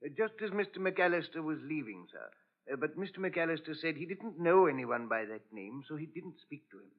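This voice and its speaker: An actor playing a polite, hesitant and servile butler in a 1940s radio drama. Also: male voice, a little high, very animated, fairly quick. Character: deferential, hesitant household butler. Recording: muffled old radio broadcast.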